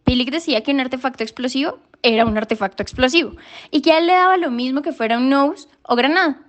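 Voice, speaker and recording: A woman's voice: sits high, fast talker, lightly acted. A woman playing a solemn, precocious youngest daughter who speaks formally and pedantically in a literary radio drama. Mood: earnest, pedantic.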